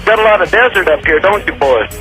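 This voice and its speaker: A man's voice: high-pitched, lively intonation, fast talker, music in the background. A man with a Texan accent calling in to a radio show, talking in a rambling, folksy, down-home way. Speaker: radio call-in caller. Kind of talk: live call to a radio show. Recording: noisy phone line on a radio broadcast.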